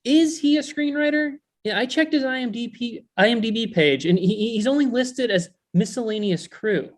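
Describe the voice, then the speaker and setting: An actor playing an exasperated, earnest, jealous male elf in a comedy table read, indignant and halting. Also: mid-range voice, natural voice.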